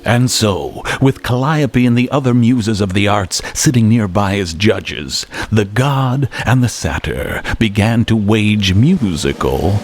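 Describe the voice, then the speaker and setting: An actor playing a theatrical storyteller, giving rhythmic rhyming narration in a radio drama and relishing every word. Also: male voice, lowish voice, animated, takes it slowly, few pauses, a little hiss or hum. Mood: wry, measured. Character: theatrical male storyteller relishing a mythic tale.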